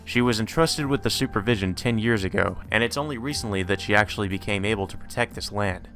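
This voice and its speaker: A man with a fairly low voice reads a visual novel aloud as a let's player, adding the odd aside. Game music plays softly behind him. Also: fast.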